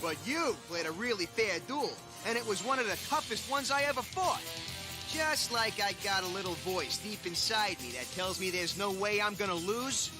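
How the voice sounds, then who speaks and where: A man's voice: high voice, expressive, moderate pace. An actor voicing a brash, loyal teenage boy duelist who talks with cocky swagger. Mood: defiant. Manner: cocky, gut-trusting swagger. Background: dub soundtrack music.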